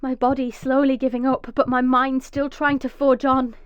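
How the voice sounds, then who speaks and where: An actress playing a desperate, vengeful mother trapped underground, narrating intensely in a breathy first-person radio drama monologue. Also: sits high, level tone, moderate pace, close to an everyday voice. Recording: echoey, slightly dull recording.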